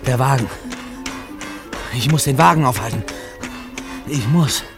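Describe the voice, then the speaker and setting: A man playing an earnest, troubled railwayman husband in a 1950s radio play, speaking haltingly. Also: medium pitch, music in the background, natural voice. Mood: troubled, bitter. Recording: noisy old 1950s radio recording.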